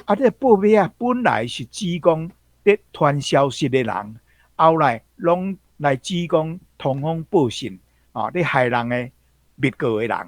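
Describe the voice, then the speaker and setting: A man's voice: on the high side, big pitch swings, a little slow, no room sound. A man with a Taiwanese accent giving an explanatory talk as a guest commentator, going step by step. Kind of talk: explanatory talk on words and history.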